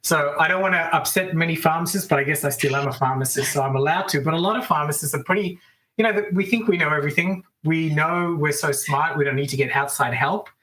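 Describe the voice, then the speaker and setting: A middle-aged man with an Australian accent, a business coach chatting as a guest over a remote call, upbeat with quick asides. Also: on the high side, pushed and bright, poor audio. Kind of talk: casual interview storytelling.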